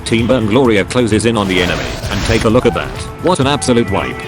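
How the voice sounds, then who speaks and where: A man's voice: on the low side, lively intonation, brisk, natural voice. A man playing an arena co-host who gives dramatic play-by-play commentary on fantasy fights like a showman. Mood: showmanlike. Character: excitable male arena commentator, a sportscaster co-host. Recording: loud music behind the commentary.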